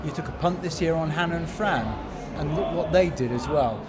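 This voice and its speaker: A man's voice: on the high side, fairly quick. A man hosting a podcast, narrating and chatting with a guest at an awards night. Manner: upbeat, chatty interviewer. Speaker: podcast host. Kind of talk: narration and interviewer at an awards event. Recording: music and crowd noise behind. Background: crowd chatter and music.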